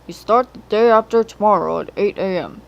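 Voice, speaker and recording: A woman's voice: medium pitch, a little fast, creaky, dull audio, close to an everyday voice. A woman playing a stern, pompous male railway controller in a storybook reading, commanding and emphatic.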